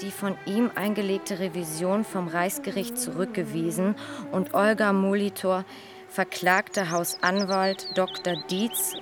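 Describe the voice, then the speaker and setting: A woman's voice: fairly low pitch, natural voice. An actress playing a formal, articulate female narrator who reads out period letters and newspaper reports in a radio play. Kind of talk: scripted radio play reading of documents.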